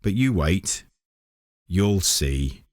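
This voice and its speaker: A man voicing a knowing, loyal talking cat with quiet menace in a children's storybook. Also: deep voice, expressive, drawn-out words, no echo, studio quality, full character voice. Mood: menacing.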